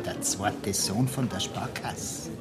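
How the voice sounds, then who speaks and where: An actor playing a loyal, placating Bavarian sidekick in a radio play, speaking familiarly in dialect. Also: male voice, on the low side, takes it slowly. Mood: confiding. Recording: 1970s radio play, music behind.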